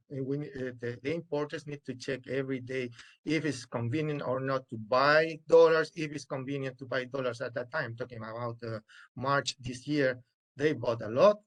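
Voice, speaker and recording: A man with a Chilean Spanish accent speaks on a webinar video call in a middle-pitched voice, halting and restarting often as he explains. He sounds like a panelist talking unscripted from a webcam. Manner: halting, many restarts and fillers. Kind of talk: unscripted expert answer.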